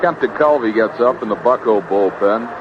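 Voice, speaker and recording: A man's voice: on the low side, moderate pace. A man calling a baseball game as a play-by-play announcer on live radio. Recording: noisy dull vintage radio broadcast. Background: constant loud ballpark background noise.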